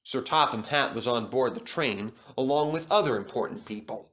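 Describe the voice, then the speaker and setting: A man narrating a children's story from a script in an expressive, dramatic storytelling manner. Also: medium pitch, normal speed. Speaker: storybook reader.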